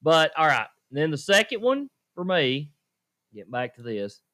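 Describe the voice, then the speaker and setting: A man's voice: fairly high pitch, big pitch swings, unhurried, pushed and bright. A man, co-hosting a podcast and telling self-deprecating stories with laughs. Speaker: podcast co-host. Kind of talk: casual podcast storytelling.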